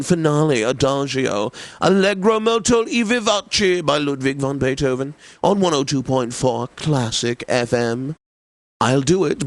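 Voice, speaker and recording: An actor playing a wealthy, self-satisfied, effete classical radio DJ in a video game, with affected, precise enunciation and theatrical announcing. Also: male voice, medium pitch, dry recording, lightly acted. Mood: haughty, genteel. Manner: pompous, affected, precise enunciation, savoring words.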